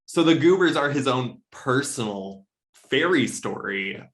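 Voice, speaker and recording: A man speaking as a podcast guest, discussing fiction casually in an emphatic, halting way. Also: medium pitch, animated, a bit bright. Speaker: podcast guest, literary editor. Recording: roomy, echoey.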